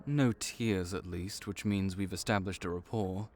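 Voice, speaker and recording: A man's voice: sits low, fairly even intonation, unhurried, a little mellow. A man voicing a laid-back vampire clerk and mentor in an audio roleplay, dry and wry as he speaks to the listener. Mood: easygoing.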